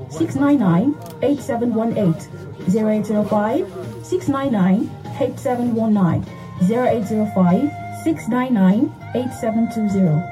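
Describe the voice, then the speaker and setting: A woman's voice: on the high side, low-quality recording. A woman reading scripted radio advert narration. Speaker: advert voice-over. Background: music bed.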